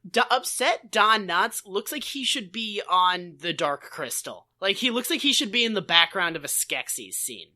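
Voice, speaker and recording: A man speaks in a sharp, bright voice that moves up and down a lot. He co-hosts a podcast, giving casual comedic commentary with sarcasm and plenty of swearing. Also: high voice, big pitch swings, moderate pace, hardly any pauses. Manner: profane, sarcastic riffing. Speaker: podcast co-host.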